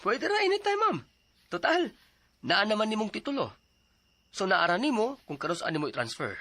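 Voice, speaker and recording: Persuasive property seller, Cebuano accent: courteous, businesslike, highly varied intonation, radio drama. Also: big pitch swings, normal speed, pushed and bright, no echo. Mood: reassuring. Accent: Cebuano Filipino. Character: smooth, businesslike land seller, polite and persuasive.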